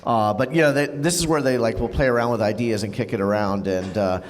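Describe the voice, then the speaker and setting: A man co-presenting at a convention panel, talking casually with halting restarts and fillers. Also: mid-range voice, a little hiss or hum. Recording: reverberant hall.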